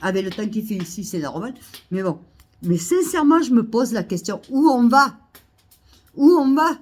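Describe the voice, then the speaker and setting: A woman with a cold, coughing now and then, ranting indignantly to her followers, repeating herself and rising to loud outbursts. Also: on the high side, lively intonation, normal speed. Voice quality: hesitant restarts and fillers. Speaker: vlogger. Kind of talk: ranting monologue to camera. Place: Almeria, Spain.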